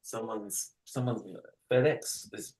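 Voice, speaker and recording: A man's voice: lowish voice, fairly even intonation, slow pace, darkish tone, rough recording. A British man chatting casually as an interview guest, giving short, hesitant answers with a jokey touch. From United Kingdom.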